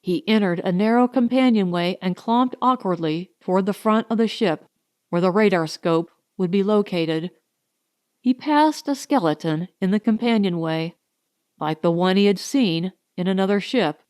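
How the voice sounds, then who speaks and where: A woman reading a science fiction audiobook as a dramatic narrator, with deliberate, suspenseful, weighted phrasing. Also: medium pitch, darkish tone, dry recording, studio quality.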